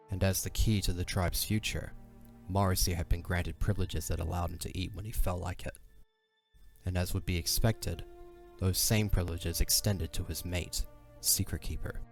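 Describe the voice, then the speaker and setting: A man's voice: low-pitched, little pitch movement, normal speed. A man narrating an audio drama as a scripted story. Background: faint music.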